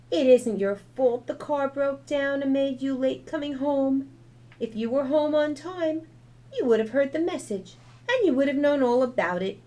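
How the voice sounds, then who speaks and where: Casting note: sweet, wise little girl, put on by a woman, high and earnest, mellow tone.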